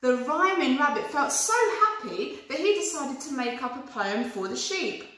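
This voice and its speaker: A British woman reading a storybook to young children like a teacher, pausing to explain, in an echoey room. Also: high-pitched, lively intonation, fairly bright tone. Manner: explains words to young listeners. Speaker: primary school teacher reading aloud.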